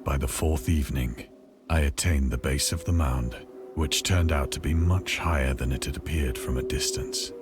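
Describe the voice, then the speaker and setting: Deep-voiced, haunted horror narrator. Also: male voice. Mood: somber. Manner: grave, formal, measured storytelling. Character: stranded man recounting a cosmic horror ordeal, haunted and grave. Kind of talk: scripted dramatic first-person horror narration. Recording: voice over prominent background music.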